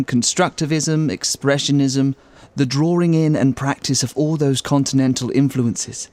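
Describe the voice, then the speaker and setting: An actor playing an urbane, florid theatre critic in an audio drama, pompous and theatrical. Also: male voice, mid-range voice, darkish tone, dry recording, lightly acted.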